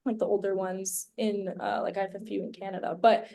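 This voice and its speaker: A woman's voice: mid-range voice, flat delivery, brisk, gravelly, dark tone. Chatty young woman, podcast guest. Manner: chatty and rambling, leans heavily on "like" and "um". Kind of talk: casual conversational interview.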